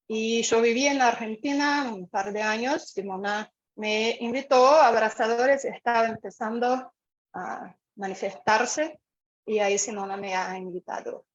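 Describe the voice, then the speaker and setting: A woman from Brazil with a Brazilian Portuguese accent speaking on a video call, unhurried and searching for words with many pauses. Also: fairly high pitch, lo-fi sound, slight background noise. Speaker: community member sharing. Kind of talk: online meeting talk. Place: home room with a fan.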